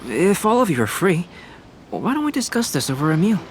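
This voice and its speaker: A man voicing a calm, analytical young scholar in a video game, articulate and courteous, reasoning in a measured way. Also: high voice, slight background noise. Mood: polite.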